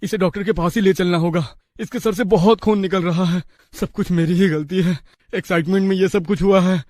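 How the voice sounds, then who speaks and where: A man's voice: high voice, steady tone, brisk, a little mellow, lightly acted. A man playing an earnest, respectful young village husband and dutiful son. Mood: panicked.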